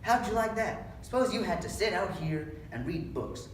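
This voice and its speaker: A man's voice: high voice, animated, moderate pace, pitched above the performer's own voice. A young man reads the part of a lonely, taunting stable hand in readers' theatre. His speech is rustic and needling. He is heard at a distance in an echoey room with faint noise. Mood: bitter, lonely. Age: young adult. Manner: rural American dialect, read aloud with needling persistence. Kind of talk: readers' theatre script reading.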